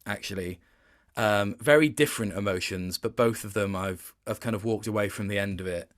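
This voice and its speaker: Male interviewee, casual and reflective, thoughtful delivery that doubles back while finding the right words. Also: lowish voice. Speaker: interview guest, voice actor.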